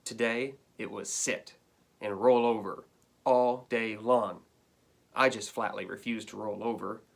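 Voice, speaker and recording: A man's voice: fairly low pitch, fairly even intonation, a little slow, vocal fry. A man reading a storybook as an indignant, put-upon pet dog, complaining in a dramatic, emphatic way. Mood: outraged complaining. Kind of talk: storybook read-aloud.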